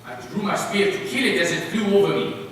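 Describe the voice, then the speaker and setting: A man's voice: high voice, fairly quick, a bit bright, recorded from a distance. Man playing a wary jungle hunter, echoey hall. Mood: wary wonder. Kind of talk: theatrical storytelling, readers theater. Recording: echoey hall from afar, faint noise.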